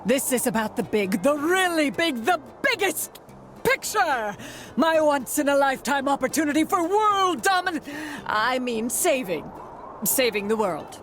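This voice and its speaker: A woman's voice: sits high, sing-song, measured pace, fairly bright tone, faint music behind, heavily acted. A woman voicing a scheming, grandiose fortune-teller villain in a cartoon game, theatrical, haughty and sly. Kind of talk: animated cartoon game voice acting.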